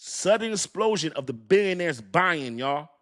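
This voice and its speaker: A man's voice: fairly high pitch, lively intonation, moderate pace, fairly bright tone. Hyped slangy man hosting a crypto stream. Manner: hyped, repetitive, slangy, talks straight to chat. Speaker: crypto livestream host. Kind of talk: excited casual livestream talk to chat.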